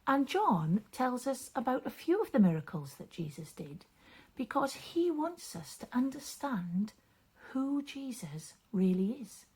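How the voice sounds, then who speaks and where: A woman reading and explaining a story for children, with gentle dramatic phrasing that often drops into a hush. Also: on the high side, sing-song. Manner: dramatic, deliberate phrasing, often breathy and hushed.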